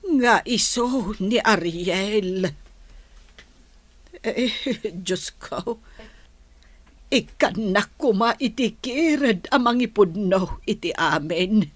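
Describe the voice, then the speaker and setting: An old grandmother in a radio drama, slow and husky, with an Ilocano accent. She speaks haltingly, weighed down by a secret. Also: medium pitch, expressive, no echo. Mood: troubled, confessional.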